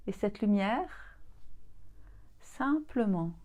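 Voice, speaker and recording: A woman leading a guided yoga nidra relaxation as a teacher, soothing and gently inviting, leaving long pauses between phrases. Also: mid-range voice, expressive.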